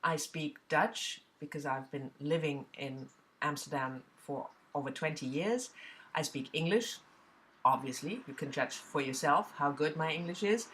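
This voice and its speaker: A woman's voice: deep voice, a little slow, a bit bright, low-quality recording. A middle-aged woman from southwest Germany with a German accent, chatting casually to camera as a book vlogger, with frequent fillers and restarts.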